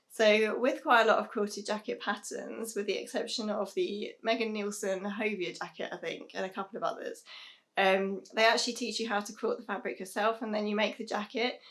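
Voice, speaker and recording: A young British woman talking casually to camera about sewing, a little hoarse from losing her voice, chatty with frequent ums and soft laughs. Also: medium pitch. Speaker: sewing vlogger. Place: small home sewing room, indoors.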